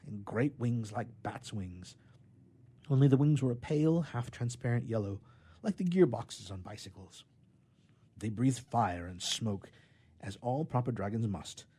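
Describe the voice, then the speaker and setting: A man reading a children's tale aloud in an expressive storybook manner, with emphatic swells and drops. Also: medium pitch, slightly rough. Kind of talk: scripted storytelling narration.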